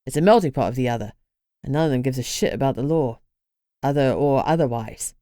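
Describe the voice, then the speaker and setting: A gruff, stern male vampire , as a woman reading the story puts him on. The voice is pushed very low and sounds blunt and matter-of-fact. It is recorded close and dry, with no room around it. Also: animated, fairly quick, warm tone, dry recording.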